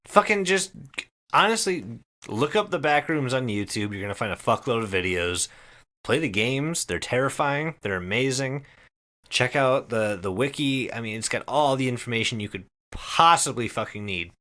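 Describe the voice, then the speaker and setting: A man's voice: mid-range voice, animated, normal speed, pushed and bright. A man co-hosting a podcast in casual, joking banter, emphatic and heavily profane. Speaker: podcast co-host.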